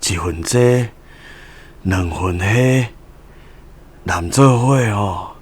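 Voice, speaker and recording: 男聲演出的喘息垂死的老農父親. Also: male voice, sits low, very slow, darkish tone, husky, pitched below the performer's own voice, exaggerated voice. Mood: weak, secretive. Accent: Taiwanese Hokkien. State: out of breath. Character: dying old farmer father, weak, gasping. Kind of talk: radio drama acting.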